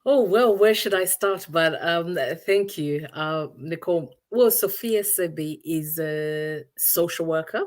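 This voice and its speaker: Low-voiced thoughtful woman from Uganda. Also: a little slow, hardly any pauses. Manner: thoughtful, searching for words with many restarts. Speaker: guest. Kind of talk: reflective interview answers.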